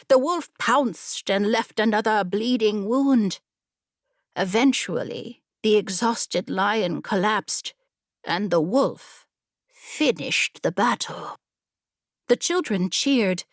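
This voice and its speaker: Unhurried woman narrating a story. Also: medium pitch, dry recording, clean studio sound. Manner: measured, deliberate storytelling. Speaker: audiobook narrator.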